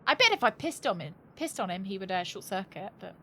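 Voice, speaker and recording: A woman's voice: medium pitch, sing-song, fairly quick, bright, forceful tone, a little hiss or hum. A woman chatting casually as she streams a game, thinking aloud with wry, joking asides. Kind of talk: casual live gaming commentary.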